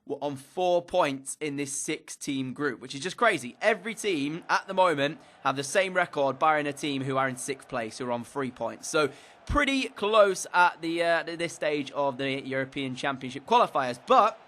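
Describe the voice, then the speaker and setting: A man's voice: a little high, a bit bright. A young man from England with a slightly stuffy nose from a cold, recapping football matches in an upbeat commentator style with frequent fillers. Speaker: gaming YouTuber.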